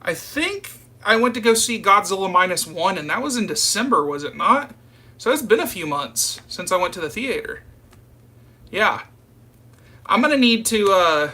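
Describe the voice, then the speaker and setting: A man's voice: sits high, pushed and bright. A man, chatting casually and informally as he hosts a live stream and answers viewer comments. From southern United States. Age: early 30s. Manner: informal, rambling, addressing viewers as "you guys".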